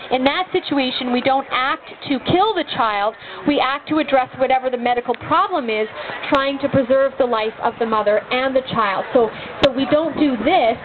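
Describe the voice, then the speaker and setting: A woman's voice: high voice, moderate pace, hardly any pauses. A woman debating at an outdoor campus open mic, pressing her point with rhetorical questions. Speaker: pro-life speaker at open mic. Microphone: handheld microphone through speaker. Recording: tinny lo-fi with loud background noise.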